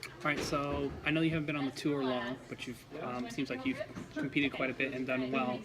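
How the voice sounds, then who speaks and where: A man's voice: mid-range voice, little pitch movement, brisk. Male podcast host, chatty, filler-heavy questions, mid-range voice, noisy crowd behind. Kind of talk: casual interview.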